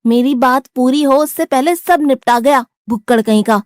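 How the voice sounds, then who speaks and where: Brief: high, blunt, stubborn young male disciple who complains about food, voiced by a woman. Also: normal speed, darkish tone, no room sound, studio quality, close to an everyday voice. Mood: indignant. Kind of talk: Hindi cartoon story dubbing.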